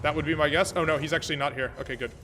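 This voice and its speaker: An American man streaming a naval battle game, thinking aloud about tactics and chatting with viewers in a relaxed way. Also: on the high side, very fast, noisy background. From American, born in Germany. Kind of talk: live gameplay commentary and chat. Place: Vienna home setup.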